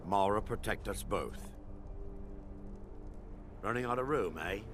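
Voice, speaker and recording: An actor playing a calm male dark elf mage companion in a video game, quiet and dutiful. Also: on the low side, takes it slowly, faint music behind. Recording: game audio with steady noise behind.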